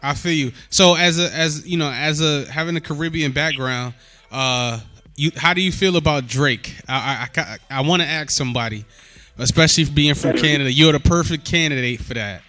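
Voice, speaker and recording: Upbeat male podcast host, bright, slightly high voice, faint music underneath. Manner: upbeat, quick affirmations like "word" and "yeah". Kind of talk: casual podcast interview.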